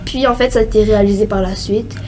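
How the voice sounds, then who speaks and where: A woman's voice: medium pitch, fairly even intonation, quick pace, darkish tone. A teenage girl with a Québécois French accent, co-hosting a casual podcast chat, rambling with lots of fillers. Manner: rambling, many fillers like "genre" and "euh". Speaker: co-host.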